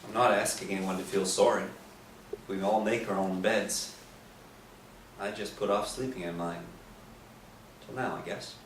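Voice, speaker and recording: A man's voice: lowish voice, fairly even intonation, a little slow, long pauses, close to an everyday voice. A man playing a disillusioned con artist who posed as a priest, in a staged play reading. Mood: resigned. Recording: roomy, distant mic, faint noise.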